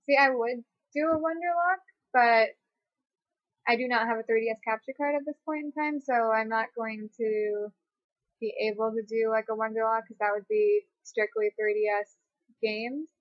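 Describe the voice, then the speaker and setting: A twenty-year-old woman chats her way through viewer questions from home, wandering through thoughts with lots of fillers and restarts. Her voice sits a little high. The webcam audio is dry and slightly muffled. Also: steady tone, normal speed, no echo. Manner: rambling, chatty, many fillers and restarts. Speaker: YouTuber. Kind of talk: casual facecam Q&A. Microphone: webcam mic.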